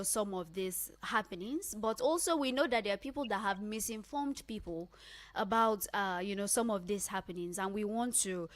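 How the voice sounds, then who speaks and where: A woman's voice: medium pitch, dry recording. Female radio host, Nigerian accent, mid-range voice, talk show delivery, dry studio sound.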